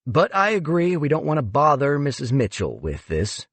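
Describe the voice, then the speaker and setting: Smug male boss voiced by a man, high and lively, condescending, breezy, storybook. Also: normal speed, husky, no room sound, full character voice.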